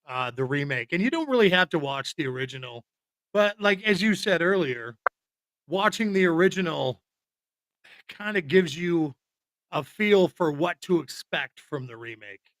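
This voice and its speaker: Bright, higher-voiced man chatting about films. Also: lively intonation, normal speed, no room sound. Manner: rambling, informal, opinionated. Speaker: podcast co-host. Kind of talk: casual film chat.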